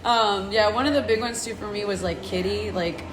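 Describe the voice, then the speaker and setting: A young woman with a husky, rough voice, talking casually and slangily in a bar about her band, often laughing. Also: mid-range voice, moderate pace, a crowd in the background, poor audio. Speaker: band member. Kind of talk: casual chat.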